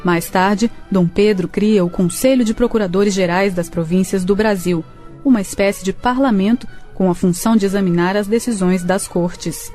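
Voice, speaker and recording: A woman's voice: mid-range voice, normal speed, darkish tone. A woman with a Brazilian accent reading scripted historical narration for a radio drama. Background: music.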